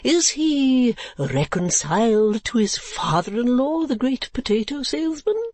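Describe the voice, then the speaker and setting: A reader voicing a mad old gentleman from a storybook, grandly eccentric, with a pompous, drawn-out delivery. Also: mid-range voice, sing-song, no echo, pitched above the performer's own voice. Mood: eager, grand. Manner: drawn-out, eagerly pompous, swooping.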